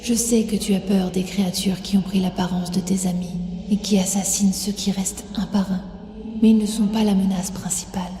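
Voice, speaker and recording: Une femme qui joue, dans une fiction audio, l'esprit mourant d'un arbre volcanique, grave et las, d'une voix chuchotée et mesurée. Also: female voice, mid-range voice, level tone, warm tone, soft background music, close to an everyday voice. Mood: solemn, pleading. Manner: grave, whispery, measured. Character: dying volcanic tree spirit, solemn, weary female guardian. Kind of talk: audio drama acting. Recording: long echoing reverb.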